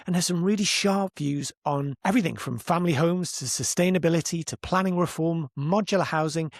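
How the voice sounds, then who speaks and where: A man hosting an interview podcast, reading a measured introduction before he asks questions. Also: fairly high pitch, no echo, clean studio sound. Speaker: podcast host.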